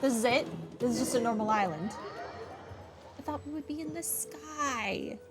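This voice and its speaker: High-voiced woman giving offhand gaming commentary. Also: normal speed. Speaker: gaming streamer. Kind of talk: casual playthrough commentary. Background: video game music.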